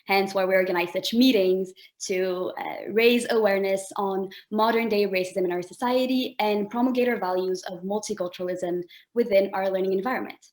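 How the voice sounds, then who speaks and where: A woman's voice: mid-range voice, steady tone, brisk, few pauses, a little mellow. A young woman, a first-year college student, politely reading formal prepared remarks and interview questions. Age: young adult.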